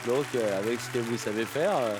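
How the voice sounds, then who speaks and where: A man's voice: mid-range voice, a little fast, music in the background. A man serving as a talent show coach, chatty and encouraging as he gives feedback. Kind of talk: judge feedback.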